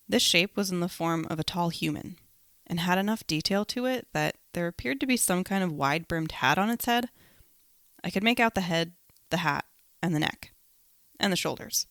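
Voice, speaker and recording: A woman's voice: fairly low pitch, gravelly, no echo, studio quality. A woman hosting a podcast, telling personal spooky stories in her own words. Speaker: podcast host.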